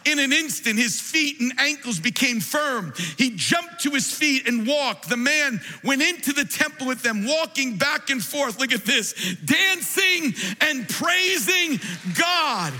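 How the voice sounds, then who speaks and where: A man preaching a sermon in a large church, impassioned and building to shouts. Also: very high voice, lively intonation, a little slow, few pauses. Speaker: pastor. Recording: long reverb of a large hall.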